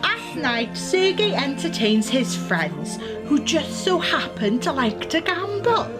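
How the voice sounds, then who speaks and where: A woman's voice: sits high, sing-song, takes it slowly, loud music behind the voice, exaggerated voice. A young woman acting a defiant, exasperated grown daughter in a radio play. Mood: defensive.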